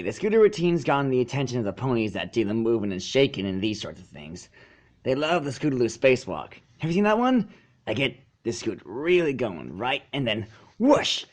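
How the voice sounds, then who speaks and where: A man's voice: medium pitch, huge pitch swings, normal speed, a bit bright. Man playing a cocky young tomboy pegasus. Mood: excited bragging. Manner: cocky, casual. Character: brash, boastful young tomboy pegasus pony.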